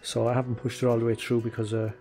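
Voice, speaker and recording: A man's voice: medium pitch, quick pace, music in the background. A man doing casual how-to narration in his garage workshop, thinking aloud as he works and calling viewers guys. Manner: relaxed, thinking aloud while working, addresses viewers as guys. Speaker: restoration vlogger.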